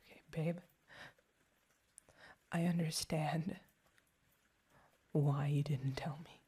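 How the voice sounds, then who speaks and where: A woman's voice: low-pitched, steady tone, takes it slowly, mellow, breathy edge, heavily acted. A young woman playing a protective girlfriend who is furious and hurt, mostly whispering with stumbling, intense outbursts in an ASMR roleplay. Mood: angry, shocked.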